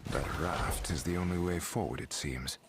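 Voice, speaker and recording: A male actor playing a grim, calm necromancer adventurer in a dark fantasy video game, with brooding, deliberate delivery over game effects. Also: extremely low pitch, noisy background. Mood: grim, weary.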